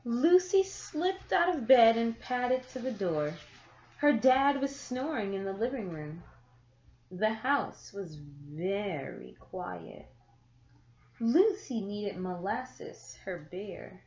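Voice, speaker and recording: A woman reading a children's picture book aloud, with deliberate, expressive phrasing and drawn-out words for young listeners. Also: mid-range voice. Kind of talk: children's story read-aloud. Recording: echoey room, slightly muffled sound.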